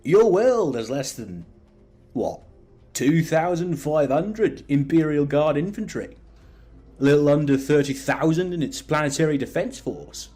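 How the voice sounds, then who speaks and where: A man voicing a courteous, condescending alien diplomat who speaks in measured, veiled threats for an audio drama. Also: a little high, animated, dark tone, husky. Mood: placating, smug. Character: polite, smugly menacing male alien diplomat, condescending.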